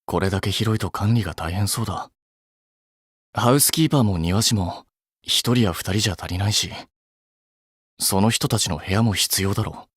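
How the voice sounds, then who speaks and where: An actor playing a blunt, practical young male idol in a game, deadpan and casual with an awkwardly kind streak. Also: fairly low pitch, moderate pace, long pauses, slightly rough, no room sound, clean studio sound. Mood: dry, practical. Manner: deadpan, casual clipped speech. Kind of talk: Japanese mobile game voice acting.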